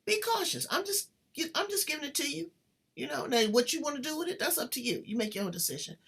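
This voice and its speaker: A woman's voice: high-pitched, very animated, fast talker, a bit bright. A woman hosting an interview, talking in an emphatic, folksy way and stretching her words. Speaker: host. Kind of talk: casual interview chat.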